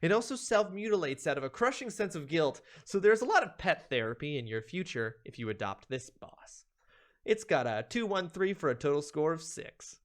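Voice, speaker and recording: A male streamer with a slightly high voice reads out a humorous ranking as if it were drama. His pitch swings widely, and he leans on words with theatrical, mock-serious emphasis. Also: sing-song, moderate pace. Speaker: streamer, video host.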